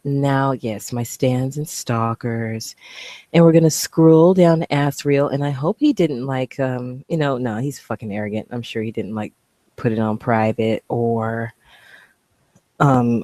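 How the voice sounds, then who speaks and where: A woman's voice: very deep voice, a little mellow, no echo. A woman vlogger talking casually to the camera. She is blunt, swears freely and rambles into asides while clicking through her videos. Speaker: video blogger.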